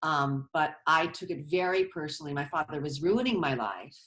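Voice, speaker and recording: A woman's voice: low-pitched, animated, moderate pace, a bit bright, low-quality recording. A middle-aged woman from Colorado, a stage actress chatting as a guest over a video call from her bedroom, rambling with restarts as she tells stories. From Colorado, USA. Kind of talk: casual interview chat. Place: bedroom at home.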